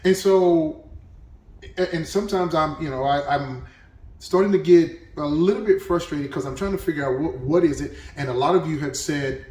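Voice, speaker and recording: A man's voice: on the high side, normal speed, darkish tone, recorded from a distance. Chatty man in an echoey room. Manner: chatty, thinking aloud with many restarts. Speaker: reaction video host. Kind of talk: casual reaction commentary.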